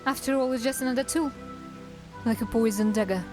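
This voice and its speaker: A woman playing a wry, guarded dark elf assassin in a cinematic fantasy drama, with a musing, teasing manner. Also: a little high, a little fast, music in the background, lightly acted. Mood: guarded, confessional.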